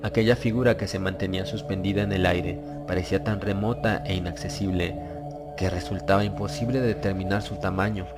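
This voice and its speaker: Deep voiced guy reading a horror audiobook, solemn and dramatic, loud music behind him. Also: steady tone, brisk. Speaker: audiobook narrator. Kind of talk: dramatized scripted horror narration.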